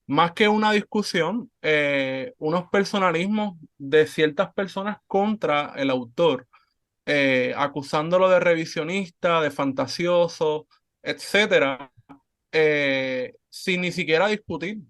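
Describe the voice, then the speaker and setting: A man hosting a podcast interview, speaking earnestly and at a measured rate, with frequent fillers. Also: on the high side, fairly bright tone. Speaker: podcast host. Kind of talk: conversational interview.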